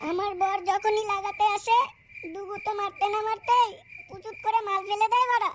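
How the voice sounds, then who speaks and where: A young cartoon wife with an extremely high, squeaky voice. She is coy, whiny and crude, heard over clear background noise. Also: level tone, a little fast. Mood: complaining. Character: coy, lusty, complaining young married cartoon woman. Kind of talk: comic cartoon dubbing. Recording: clearly noisy recording.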